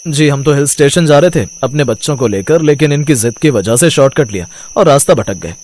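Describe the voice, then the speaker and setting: A man voicing a patient, reassuring father in a cartoon story, speaking in a measured way. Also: mid-range voice, animated, a little mellow, slight background noise, natural voice. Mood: polite, puzzled. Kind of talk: cartoon moral story acting.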